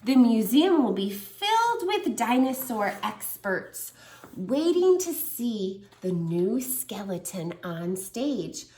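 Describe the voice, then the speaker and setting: A woman teacher reading a picture book aloud to a small child, lively and encouraging. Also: fairly high pitch, slow, reverberant. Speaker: preschool teacher. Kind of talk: children's read-aloud lesson.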